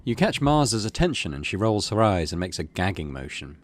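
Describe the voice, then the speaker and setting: A man's voice: low voice, big pitch swings, moderate pace, a little hiss or hum. A middle-aged man hosting a game playthrough, commenting casually and reading the story text aloud. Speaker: gaming video host.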